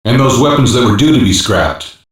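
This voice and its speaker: A strongly echoing, far-off male voice acted by a man plays a gruff intelligence hacker in a sci-fi video game. He reports technical findings in a clipped, matter-of-fact way, like a focused team member briefing a commander. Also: medium pitch, lively intonation, brisk, natural voice. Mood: serious, focused. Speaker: voice actor in an English dub. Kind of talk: scripted video game voice lines, mission briefing. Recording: very echoey, distant-sounding game audio capture.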